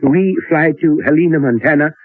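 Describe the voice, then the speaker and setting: An actor playing an elderly, learned professor in an old-time radio drama, speaking in a deliberate, musing way with theatrical hesitations. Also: male voice, on the high side, husky. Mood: resolved. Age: older. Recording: old radio recording, thin narrow band, roomy.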